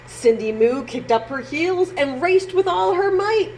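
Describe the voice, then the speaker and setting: A woman with a lively, swooping voice reads a children's story at an unhurried pace. She stresses words in a sing-song way and adds little asides to young listeners. There is clear noise behind her. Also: extremely high pitch. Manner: sing-song, exaggerated emphasis, pauses to comment on pictures. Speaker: children's librarian storytime reader. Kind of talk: expressive read-aloud for young children.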